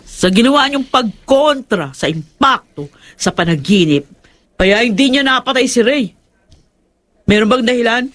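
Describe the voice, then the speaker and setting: Man voicing a high-pitched, spiteful young tomboy. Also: animated, unhurried, a bit bright, heavily acted. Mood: defiant. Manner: threatening, insolent. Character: spiteful, defiant young tomboy bent on murder.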